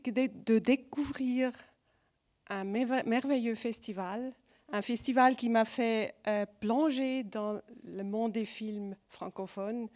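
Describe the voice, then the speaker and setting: A woman with a fairly high voice gives an award speech as a jury member. She has a foreign accent, as French is not her first language, and she speaks haltingly, searching for words. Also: a little mellow. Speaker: festival jury member announcing a prize.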